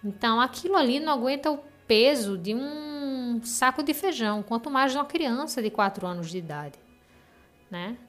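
A woman with a Brazilian accent, casually telling a personal story as a caller in a halting, reflective way. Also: fairly high pitch, animated, a bit bright. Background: music under the voice.

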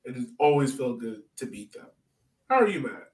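Male soccer podcast host, mid-pitched, informal and slangy fan chat, very roomy sound, a little off mic. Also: very animated, a little fast. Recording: roomy echo, distant from mic.

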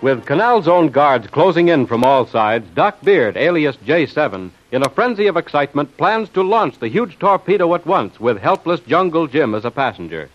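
A man's voice: on the high side, very animated, moderate pace, few pauses, soft background music. A man announcing and narrating an old radio adventure serial in a dramatic, emphatic style. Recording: muffled old radio broadcast.

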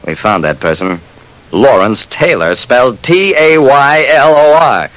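An actor playing a brisk private detective who narrates his case tersely and wryly in an old radio crime drama. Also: mid-range voice, animated, fairly bright tone, low-quality recording. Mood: matter-of-fact, wry. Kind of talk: 1940s-50s radio crime drama, first-person narration. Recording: old radio broadcast recording.

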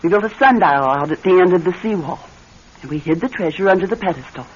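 An actress playing an earnest, wistful wronged wife, in the theatrical manner of classic radio drama. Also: female voice, lowish voice, expressive, quick pace, breathy edge. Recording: muffled old radio broadcast with faint hiss.

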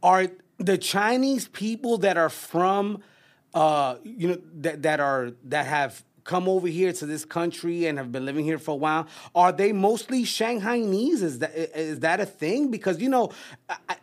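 Podcast host: male, higher-pitched voice, wordy conversational interviewer who circles back over his phrases. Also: animated, moderate pace. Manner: wordy, repeats phrases while forming questions. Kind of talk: casual interviewing.

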